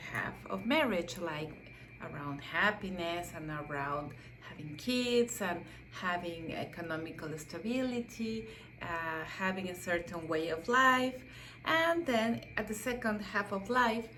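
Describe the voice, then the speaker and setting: A woman's voice: mid-range voice, a little hiss or hum, poor audio. A middle-aged Mexican woman, a native Spanish speaker with a Mexican accent, talking about herself as a psychotherapist in a hesitant, reflective way with many fillers and restarts. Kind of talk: self-introduction monologue.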